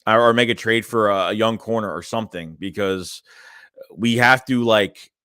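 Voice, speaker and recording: Young man, bright forceful tone, lowish voice, dry close sound, loose rambling chat. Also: moderate pace, clean tone, no room sound. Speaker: fan channel host reading live chat. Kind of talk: casual livestream chat.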